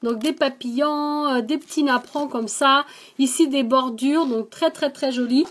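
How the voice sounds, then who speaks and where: A woman's voice: sits high, normal speed. A woman with an accent, hosting a craft channel, casually showing the crafts she received and thanking the senders, with frequent hesitations. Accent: non-native French accent. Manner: chatty, lots of hesitations and "donc". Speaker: scrapbooking channel host. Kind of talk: casual show-and-tell of craft mail.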